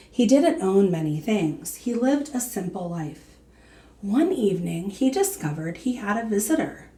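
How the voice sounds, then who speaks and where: A woman teacher reading a storybook aloud to children in an expressive manner. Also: mid-range voice. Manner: slow, expressive, addressing young listeners.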